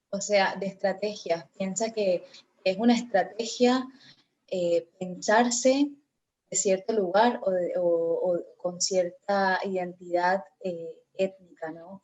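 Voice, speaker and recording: A woman's voice: on the low side, highs cut off. Hesitant, reflective woman on a video call. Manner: reflective, hesitant with many fillers. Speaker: guest speaker. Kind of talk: virtual conference discussion.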